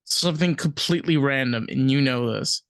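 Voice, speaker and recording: A woman with a New York accent co-hosting a podcast, animated and playfully defensive, stumbling over quick restarts. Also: rumbling low, no echo. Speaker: podcast co-host. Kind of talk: casual chat.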